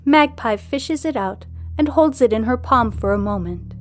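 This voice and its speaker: High-voiced woman narrating a story over music. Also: animated, normal speed. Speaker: host and narrator of a serial fiction podcast.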